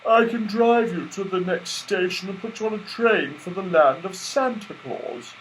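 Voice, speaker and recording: A man's voice: high-pitched, moderate pace, a bit bright, a little hiss or hum, voice pushed up, rough recording. A man voicing a kindly, sentimental toy rabbit in a children's storybook, gushing and a little hesitant. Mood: eager, helpful.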